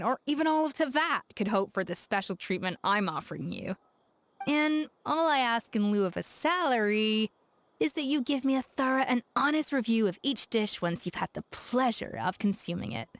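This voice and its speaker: Self-assured, teasing chef. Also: female voice, on the high side. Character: confident, playful young woman chef, proud and curious. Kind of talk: game character voice lines.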